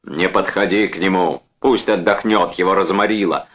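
A man's voice: deep voice, steady tone, moderate pace, muffled recording. A man voicing an aggressive brother-in-law in an audiobook, blustering and threatening with a sarcastic edge. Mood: dismissive.

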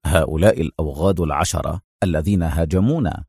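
Man acting out a cool, low-voiced ironic hero. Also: measured pace, breathy edge, no room sound, clean studio sound, full character voice. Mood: cool, calm. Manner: calm, cold, ironic. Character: cool, unflappable, wryly sarcastic Egyptian male hero. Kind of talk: dramatized audiobook character voice.

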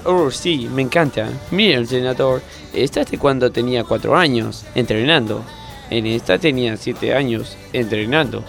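A man's voice: medium pitch, normal speed, creaky, a bit bright, soft background music. A man from Argentina acting a naive, literal-minded young female gymnast with an earnest manner in a parody radio play. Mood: innocent. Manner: innocent, earnest, dim.

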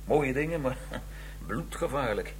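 A sensitive police inspector, with a medium-pitched voice and a reflective, measured manner. The radio crime drama has a faint hum behind him. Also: male voice, animated. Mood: musing.

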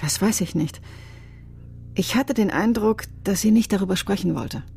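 An actress playing a reticent, enigmatic fortune teller with a husky, creaky voice and a guarded, terse manner in a radio crime drama. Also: female voice, medium pitch, normal speed, a little mellow, soft background music.

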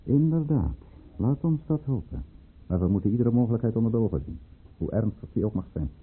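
Silky conspirator of high rank, husky, very varied intonation, formal and probing, thin old radio-play sound. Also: male voice, lively intonation. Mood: suave, pointed. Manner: measured, probing, formal and insinuating. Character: scheming, silky high-ranking male courtier conspiring against the tsar. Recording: muffled, narrow-band old radio recording.

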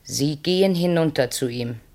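An actress playing a commanding, fatalistic seductress in a solemn 1960s German radio play, speaking with deliberate, incantatory phrasing. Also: female voice, low voice, darkish tone. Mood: cold, instructing. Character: commanding, fatalistic seductress demanding her husband's death.

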